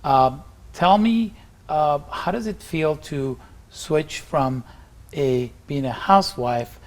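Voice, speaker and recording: A middle-aged man hosting an interview, asking questions haltingly with frequent pauses. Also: fairly high pitch. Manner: hesitant, many fillers and restarts. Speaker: interview host.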